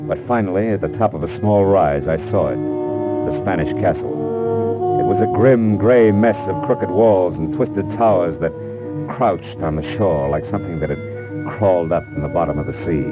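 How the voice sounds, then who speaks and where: An American actor playing a wry, tough private detective with slangy, wisecracking delivery in a 1940s radio drama. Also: male voice, sits low, normal speed, natural voice. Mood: grim, wry narration. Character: wry, tough male private detective narrating his case. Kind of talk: 1940s radio acting, hardboiled detective narration. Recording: dull vintage radio recording, music behind.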